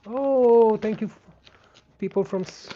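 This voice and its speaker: A man with a French Canadian accent, a native French speaker, chatting gently and casually as he hosts a live craft stream. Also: sits high, unhurried. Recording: dull, lo-fi sound.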